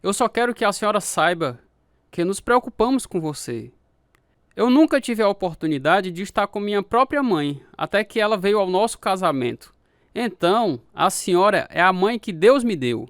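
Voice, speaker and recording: An actor playing a kind, devout young son-in-law in a radio drama, speaking earnestly and tenderly. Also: male voice, high voice, animated, normal speed, fairly bright tone, smooth, dry recording, clean studio sound. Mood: earnest, warm.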